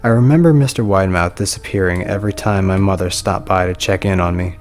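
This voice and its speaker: Low-voiced man narrating horror. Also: fast talker. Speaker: narrator reading a horror story. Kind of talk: scripted narration. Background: soft music.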